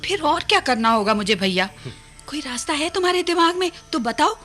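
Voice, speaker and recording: An actress playing a conniving, flattering woman in a radio drama, with a honeyed, coaxing manner. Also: high-pitched, a little fast, faint music behind. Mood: eager conniving. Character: conniving, flattering wife and eager sister. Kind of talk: Hindi radio drama acting.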